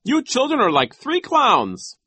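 A man's voice: huge pitch swings, a little slow, bright, forceful tone, breathy edge, no echo, full character voice. A man voicing a vain, pompous, giggly school vice principal in a storybook reading. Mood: giggling, mocking. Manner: shrill theatrical giggling, self-satisfied.

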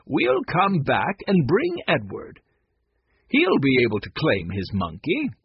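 Man playing a kindly old grandfather, husky voice. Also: medium pitch, slow, no room sound, dull audio, full character voice. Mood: calm, firm. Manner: calm, gentle, reassuring.

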